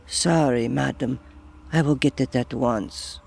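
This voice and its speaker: A man voicing a meek, apologetic foreign waitress in an audio story, husky and deferential. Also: medium pitch, slow pace, darkish tone, voice pushed up. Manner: deferential, servile.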